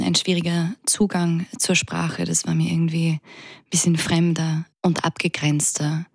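A woman in her thirties with a low voice and a gravelly edge, recorded close and dry. She answers as an actress guest in a radio interview. She talks thoughtfully and often pauses to find the right word. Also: a little mellow, dry recording. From France. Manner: reflective, searching for words with many hesitations.

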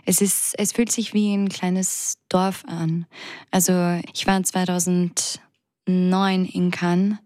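A woman in her thirties from France, an actress speaking reflectively as a guest in a radio interview and often pausing to search for words. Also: on the low side, flat delivery, darkish tone, dry recording, studio quality.

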